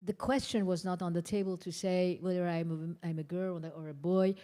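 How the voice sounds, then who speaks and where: An older woman speaks on a conference panel in a mellow, fairly low voice. She talks earnestly and haltingly, pausing to search for her words. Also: steady tone. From Iran. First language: Persian. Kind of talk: panel discussion remarks.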